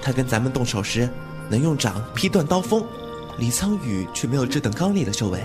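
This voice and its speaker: A man's voice: mid-range voice, very animated, fairly quick, over music, lightly acted. A man playing a glib, cheeky young swordsman in a Chinese martial-arts radio drama, with a teasing, flippant manner. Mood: curious.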